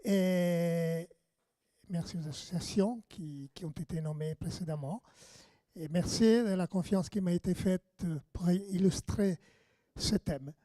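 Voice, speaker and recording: A man, giving a hoarse, halting conference lecture on radiochemistry. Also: high-pitched, mellow, no room sound. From Italy. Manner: halting, many fillers and restarts. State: hoarse, lost his voice.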